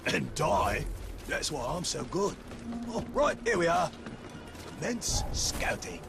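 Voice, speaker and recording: Creaky, swooping cowardly orc sidekick. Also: male voice, a little high, huge pitch swings, slow pace, exaggerated voice. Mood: cowardly, cheeky. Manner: rambling, nervously comic, wheedling. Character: cowardly chattering orc sidekick, sly and self-preserving. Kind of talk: video game cutscene acting. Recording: cinematic music behind.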